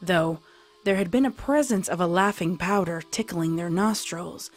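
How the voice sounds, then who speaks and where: Low-voiced woman reading a dark story. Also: moderate pace, faint music behind. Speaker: story narrator. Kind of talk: scripted dramatic narration of a fan fiction horror story.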